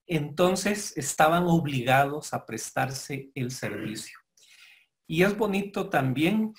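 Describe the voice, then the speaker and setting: A man giving a formal lecture to members, speaking in a measured, explanatory way with pauses and self-corrections. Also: on the high side. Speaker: guest lecturer.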